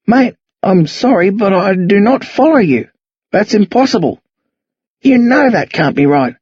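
Casting: man to play a confrontational Australian teen boy for a novel reading, husky, slangy, hot-tempered, closely recorded. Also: high voice, expressive, unhurried, a little mellow, no room sound, dull audio, voice pushed up, full character voice. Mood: defiant. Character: angry accusing teenage boy, rough, foul-mouthed, defiant.

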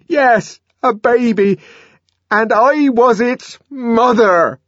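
A man voicing a distraught middle-aged woman who pleads in a high, trembling voice. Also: very slow, fairly bright tone, dry recording, dull audio, exaggerated voice. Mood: desperate.

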